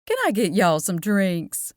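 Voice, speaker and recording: A woman's voice: mid-range voice, sing-song, measured pace, dry recording, studio quality. A woman doing a chatty diner lady. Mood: folksy. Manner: chatty, folksy, motherly. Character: warm-hearted Southern diner owner, older woman.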